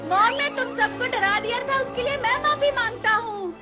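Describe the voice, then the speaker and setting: A woman voicing an earnest, anxious, excitable young schoolboy in a cartoon dub, with an expressive, whiny delivery. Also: extremely high pitch, normal speed. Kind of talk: Hindi cartoon dub acting. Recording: music under the voice.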